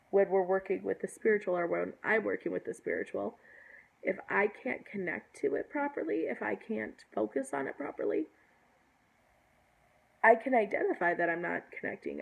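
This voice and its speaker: Hoarse woman getting over a cold. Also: medium pitch, rough recording. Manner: earnest, lots of ums and restarts. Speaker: pagan vlogger. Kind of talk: casual spoken vlog.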